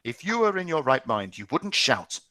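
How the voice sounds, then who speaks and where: A man's voice: medium pitch, sing-song, normal speed, dry recording, clean studio sound, voice pushed up. A man reading aloud, voicing a defiant teenage boy who snaps back at his father. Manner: sharp, retorting.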